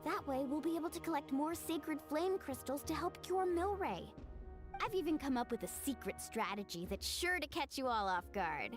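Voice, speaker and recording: A chatty upbeat game girl, high voice bouncing all over, breezy. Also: moderate pace, faint music behind. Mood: excited. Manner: breezy, enthusiastic, expressive. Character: upbeat, chatty young shopkeeper's daughter, video game heroine.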